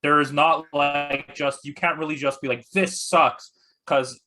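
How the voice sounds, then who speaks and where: Bright-voiced man bluntly talking movies. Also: fairly high pitch, steady tone, a little slow, slight background noise. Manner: blunt, informal, emphatic. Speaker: guest movie critic. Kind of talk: casual opinionated discussion.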